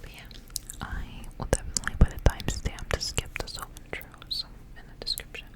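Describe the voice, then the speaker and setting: A young woman whispers softly in an ASMR style. Her delivery is breathy and almost entirely unvoiced, kept hushed throughout. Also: fast talker. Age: young adult. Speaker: ASMR creator. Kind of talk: whispered ASMR, casual rambling and story reading.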